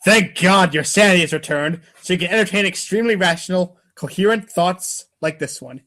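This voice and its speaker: Male comic narrator, slightly high register, theatrical and mock-grave, reading script commands. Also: a little fast, bright, forceful tone. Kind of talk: comedic readers' theater narration.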